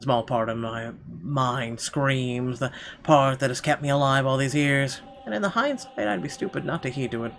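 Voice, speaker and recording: A man's voice: medium pitch, normal speed, fairly bright tone. A man reading first-person narration from a visual novel aloud in a dramatic storytelling style.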